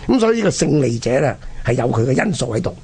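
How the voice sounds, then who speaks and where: A man with a husky, gravelly voice and a Hong Kong Cantonese accent hosts, telling history in colloquial, emphatic style with rhetorical questions. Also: mid-range voice, big pitch swings, fast, darkish tone. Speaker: radio programme host. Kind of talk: casual spoken history storytelling.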